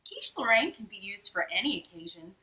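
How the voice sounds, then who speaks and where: A woman's voice: medium pitch, big pitch swings, brisk, sharp tone. A woman playing an earnest, sweetly solemn home baker, mourning her dog in a comedic monologue. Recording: roomy indoor echo.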